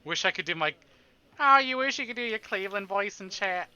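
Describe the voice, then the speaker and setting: A man's voice: high-pitched, lively intonation, fairly quick, bright, forceful tone, clear, resonant tone. A man live streaming, reading a story aloud and adding playful, exclamatory asides to his chat. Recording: music behind the voice.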